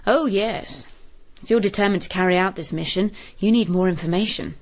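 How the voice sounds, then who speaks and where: An actress playing a determined, well-bred Roman senator's daughter in a radio drama, with a composed and pointed manner. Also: female voice, medium pitch, brisk, close to an everyday voice.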